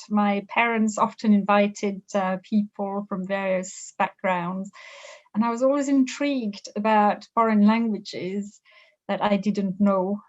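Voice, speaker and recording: A middle-aged woman from France with a French accent, a business professor answering interview questions thoughtfully, pausing often. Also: mid-range voice, muffled recording. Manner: thoughtful, with frequent hesitations and restarts.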